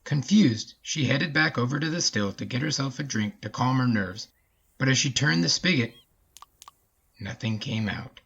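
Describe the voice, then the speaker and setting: A man's voice: medium pitch, lively intonation, moderate pace, vocal fry, a bit bright, lo-fi sound. A man hosting a story podcast, speaking as an informal, easygoing storyteller. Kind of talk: story narration and casual chatty commentary.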